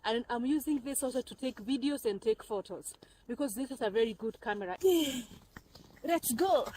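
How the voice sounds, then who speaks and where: A woman's voice: on the high side, lively intonation, normal speed, darkish tone, a little hiss or hum, rough recording. A Kenyan woman vlogging on her phone as she walks through a cold forest outdoors, chatty and laughing often. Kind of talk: casual walking vlog. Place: snowy forest outdoors in winter. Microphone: phone camera.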